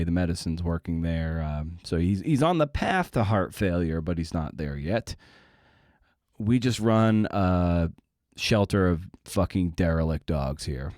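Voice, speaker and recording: A middle-aged man with a deep voice talks as a podcast host. His delivery is casual and rambling, with a sardonic, self-deprecating edge and some blunt swearing. The sound is very dry, free of room echo. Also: darkish tone.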